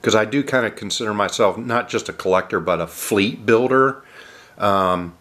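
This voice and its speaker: A man with a cold, sounding nasal, casually narrating an unboxing of model airplanes with many filler sounds and throat clearing. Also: lowish voice, moderate pace, a bit bright.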